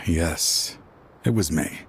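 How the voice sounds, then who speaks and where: Tired older game character, regretful father; very deep, slow, sighing. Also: a little hiss or hum, full character voice. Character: aging ex-partner, grieving regretful father. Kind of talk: game cutscene voice acting.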